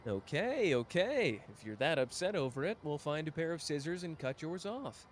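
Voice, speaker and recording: A man's voice: fairly high pitch, very animated, normal speed, a little hiss or hum. A man voicing a smug, playful young schemer who teases and drawls in an audio drama. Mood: teasing. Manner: teasing, sly, drawling. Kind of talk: ASMR roleplay audio drama.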